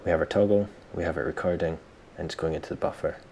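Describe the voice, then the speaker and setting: A man presenting a software tutorial step by step, informally thinking aloud as he explains. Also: low-pitched, steady tone, fast talker, a little hiss or hum. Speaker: tutorial host.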